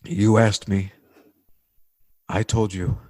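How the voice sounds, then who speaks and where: An actor playing an earnest, plain-spoken working-class man in 1940s radio drama style, with a North American accent and halting, slangy speech. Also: on the low side, flat delivery, a little mellow, dry recording, studio quality. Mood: subdued. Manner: halting, groping for words, slangy American everyman.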